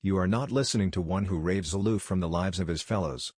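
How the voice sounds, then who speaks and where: Guy doing a sick old-soul scholar, super fervent and solemn, deep low voice, dry. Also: a little fast, a little mellow, husky, no echo. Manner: fervent, solemn, archaic phrasing. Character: frail ailing male visionary scholar, fervent.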